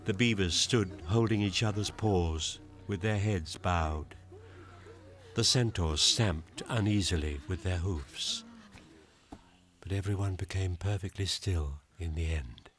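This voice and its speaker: A man narrating a scripted radio drama as a storyteller, in a grave, earnest and measured way. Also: low voice. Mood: grave, wondering.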